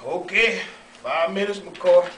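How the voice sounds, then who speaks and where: A man's voice: high voice, slow, pitched above the performer's own voice. A man playing a brusque, busy railroad boss in an amateur sketch read-through, emphatic and commanding. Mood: hurried. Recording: roomy, distant, faintly noisy recording.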